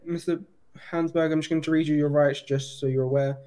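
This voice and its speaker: Higher-voiced man playing a halting detective. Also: fairly even intonation, warm tone, clean tone. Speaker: roleplay player as police detective. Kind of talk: improvised procedural roleplay talk.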